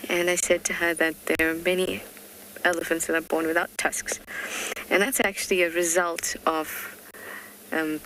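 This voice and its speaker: Hushed safari guide explaining to viewers. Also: medium pitch, slight background noise, low-quality recording. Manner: hushed, drops to a whisper near animals. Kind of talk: live game drive commentary. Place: outdoors in the bush.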